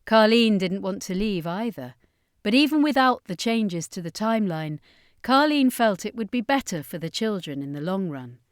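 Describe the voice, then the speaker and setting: A woman's voice: mid-range voice, lively intonation, moderate pace, dry recording, clean studio sound. A woman reading a scripted fiction story aloud as its narrator.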